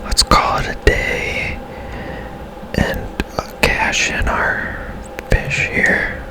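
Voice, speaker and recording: A man whispering casual ASMR commentary as he plays a video game, musing in a hushed, unhurried way. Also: low-quality recording. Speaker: game streamer, ASMR host.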